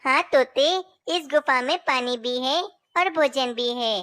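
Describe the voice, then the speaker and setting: A small female cartoon bird, voiced by a woman, with a high, smooth voice. She speaks slowly and sounds clear and dry. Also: bright, forceful tone, no echo, full character voice. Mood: calm. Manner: anxious, questioning. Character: female bird, flock leader.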